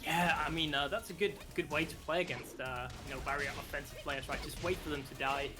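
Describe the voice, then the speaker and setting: A man breaks down fighting-game matches in a measured, analytical way. His voice sits a little high. Game music plays clearly behind him. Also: steady tone. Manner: analytical, measured, many fillers. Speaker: esports co-commentator.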